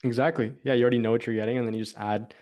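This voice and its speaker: A man hosting an interview, upbeat and quick with his questions and affirmations. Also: medium pitch, steady tone, darkish tone. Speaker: interview host. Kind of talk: casual interview.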